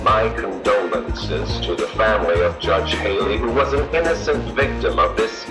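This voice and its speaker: An actor playing a stern state governor reading a formal official statement in an audio drama. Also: male voice, lowish voice, moderate pace, lightly acted. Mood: stern, solemn. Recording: echoey, music behind.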